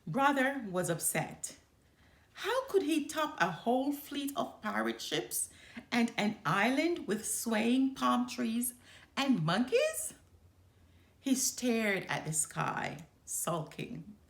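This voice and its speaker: A woman reads a children's picture book aloud as its storyteller. Her delivery is expressive and slow, with lively swings in pitch, recorded in a roomy, echoing space. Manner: expressive, deliberate. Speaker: storyteller, channel host.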